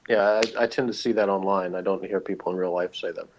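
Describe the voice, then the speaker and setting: A man's voice: sits low, steady tone, fast talker, slight background noise, rough recording. A man speaking as a co-reviewer in a casual, conversational discussion.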